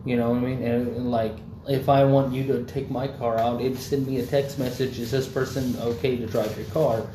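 A man's voice: mid-range voice, level tone, moderate pace. Rambling, swearing young man in an echoey room. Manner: rambling, swears often, many fillers. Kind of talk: casual chat. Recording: echoey room, faint background noise.

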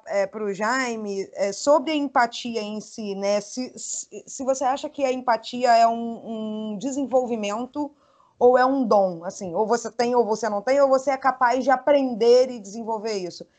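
A woman's voice: fairly high pitch, normal speed, a little mellow. A woman co-hosting an interview, adding thoughtful points to the chat and asking the guest questions. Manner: thoughtful, adds on to others' points. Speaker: co-host.

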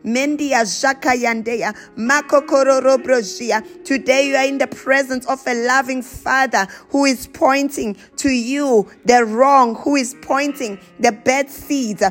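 A woman's voice: high voice, steady tone, unhurried, hardly any pauses, soft background music. A woman preacher delivering a charismatic sermon and prayer, exhorting with insistent short repeated phrases and breaking into tongues.

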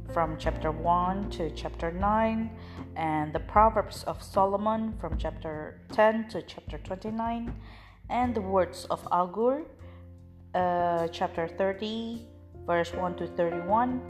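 Woman, native Indonesian speaker, informal Bible reader, low-ish voice, faint background music. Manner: unhurried, with frequent fillers and restarts. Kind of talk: informal Bible reading and introduction.

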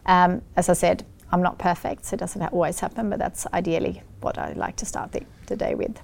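A middle-aged woman from Sweden with a Swedish accent, talking in an interview as a guest, often pausing with fillers and restarting. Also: fairly low pitch, sing-song, gravelly, a little hiss or hum. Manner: hesitant, many fillers and restarts. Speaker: guest, business founder. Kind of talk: casual interview talk.

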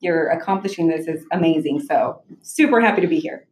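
A woman's voice: deep voice, lively intonation, brisk, a little mellow, a little hiss or hum. A woman from Mexico, a podcast guest who talks eagerly and rambles, and laughs easily. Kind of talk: casual interview conversation. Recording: echoey room.

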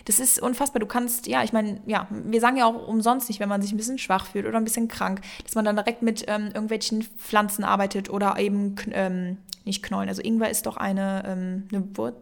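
A woman's voice: medium pitch, level tone, brisk, creaky, hardly any pauses. Chatty young woman, rambling podcast talk. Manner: rambling, many fillers, drops English words into German. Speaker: podcast co-host. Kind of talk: casual podcast chat.

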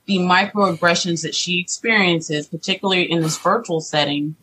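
A middle-aged woman, a teacher being interviewed, talking in an earnest, deliberate, reflective conversational way. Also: fairly low pitch, fairly even intonation, pushed and bright, slight background noise, low-quality recording. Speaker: teacher, podcast guest.

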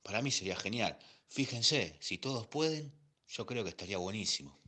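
A man's voice: lowish voice, animated, a little fast, bright, forceful tone. A man with an Argentine accent playing a timid, unsure middle-aged man who speaks hesitantly. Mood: tentative. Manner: hesitant, tentative.

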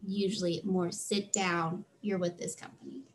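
A woman with a slightly high-pitched voice, a freelance theater tour manager answering interview questions in a chatty, earnest way with many fillers. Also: flat delivery, normal speed, slight background noise.

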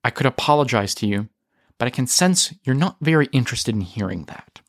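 A man voicing a remorseful older father, a redeemed villain with a gentle, hesitant manner, in a story reading. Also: medium pitch, lively intonation, a little fast, no echo, clean studio sound, close to an everyday voice.

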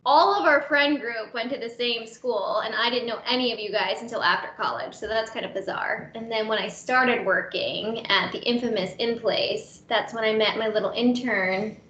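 A woman's voice: on the high side, normal speed, hardly any pauses, a bit bright, a little hiss or hum. A thirty-year-old American woman from Maryland chatting casually as a guest on a podcast. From Maryland, United States. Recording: roomy echo, slightly dull sound.

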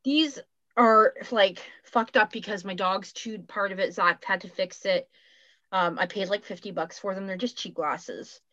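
A woman's voice: mid-range voice, a little slow, bright, forceful tone, dry recording, lo-fi sound. Blunt, foul-mouthed Canadian woman. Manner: blunt, swears freely. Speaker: podcast co-host. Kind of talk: casual chat.

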